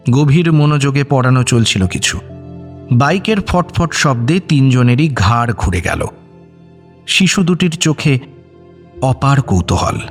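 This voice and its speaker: A man narrating an audio story in a dramatic, scripted style. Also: fairly high pitch. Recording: music bed under narration.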